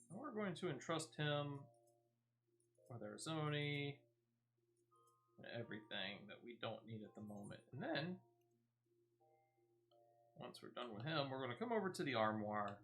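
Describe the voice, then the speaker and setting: A man's voice: medium pitch, fairly bright tone. A man giving casual, playful gameplay commentary as he streams. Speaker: game streamer. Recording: music playing behind the voice. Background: game music.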